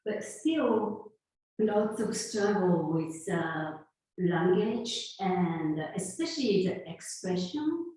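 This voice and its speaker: A woman in her fifties who grew up in Japan and speaks English with a Japanese accent. She talks in an unhurried, halting way, with soft laughs between thoughts, as a guest in an interview. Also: on the low side, expressive. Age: 50s. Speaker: guest, sound healer. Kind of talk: conversational interview. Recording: roomy echo, far from mic.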